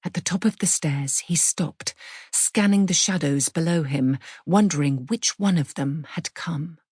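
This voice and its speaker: Slow, husky British woman narrating fiction. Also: low-pitched, darkish tone, dry recording, studio quality. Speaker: audiobook narrator. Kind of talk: scripted suspense fiction narration.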